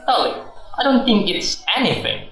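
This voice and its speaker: A man's voice: extremely high pitch, sing-song, normal speed, bright, forceful tone, full character voice. A man playing a defensive, flippant middle-aged husband and office worker in a radio drama. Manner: exasperated, joking, evasive. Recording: roomy echo, music underneath.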